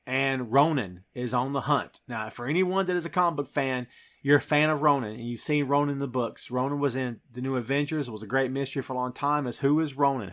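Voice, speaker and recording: A man's voice: fairly high pitch, pushed and bright. A man hosting a podcast, talking through a film scene by scene in an emphatic, dramatic storytelling way. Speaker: podcast host.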